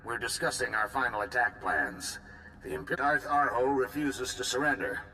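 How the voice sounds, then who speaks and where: An actor playing a blunt male supreme commander in a video game, brisk and authoritative. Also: mid-range voice, bright, forceful tone, slight background noise, natural voice, poor audio. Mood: commanding. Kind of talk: game voice acting.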